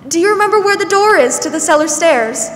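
Young woman acting a warm older lady, high-voiced. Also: expressive, fairly quick. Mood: warm, practical. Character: warm, pleasant, fussing woman of sixty. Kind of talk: 1940s radio drama acting on stage. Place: large theatre stage, indoors. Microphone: stand microphone, masked. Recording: echoey stage hall, faint noise.

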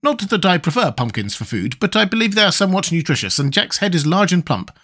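A man's voice: high-pitched, big pitch swings, fast talker, a bit bright, pitched above the performer's own voice, heavily acted. A man voicing a pompous, wordy, self-important educated giant bug in a children's storybook. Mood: matter-of-fact.

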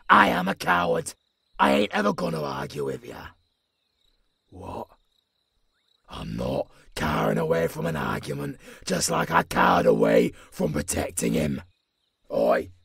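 A British man playing a weary, menacing old werewolf mentor with a gruff, husky growl in a dramatic audio roleplay. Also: a bass voice, exaggerated voice. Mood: defensive, bitter, then exasperated. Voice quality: gravelly, husky, breathy growl. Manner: brooding, gruff, sweary.

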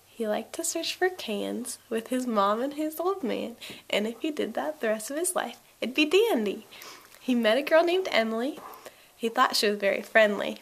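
A woman's voice: a little high, lively intonation, moderate pace, creaky. A woman in her twenties chatting casually to a handheld camera, rambling and giggly. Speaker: vlogger. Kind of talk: casual vlog chat. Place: car, then home kitchen. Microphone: handheld camera at arm's length. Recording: noisy handheld camera audio.

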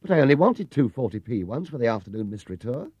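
A man's voice: medium pitch, sing-song, fast talker, breathy edge, no echo, voice pushed up. A man voicing a polite, earnest, easily indignant young bear with a British accent for a storybook. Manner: earnest, self-important, very polite. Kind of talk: storybook character reading. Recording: dull 1970s cassette recording.

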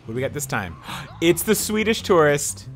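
A man's voice: fairly high pitch, normal speed. Chatty man playing a driving game. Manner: chatty, excitable, thinking aloud. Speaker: gaming YouTuber. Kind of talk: casual let's play commentary. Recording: game music behind.

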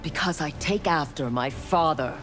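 A woman's voice: low voice, normal speed, a bit bright, faint music behind. A woman voicing a proud, ruthless young female ninja warrior in a video game, speaking in a cold, haughty, clipped way.

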